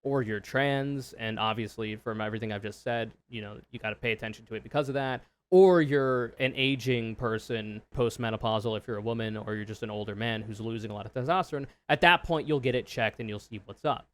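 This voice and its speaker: A man with a low voice giving casual, opinionated reaction commentary, swearing freely and explaining in loose run-on sentences. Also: fairly quick, hardly any pauses. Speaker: streamer reacting to a video.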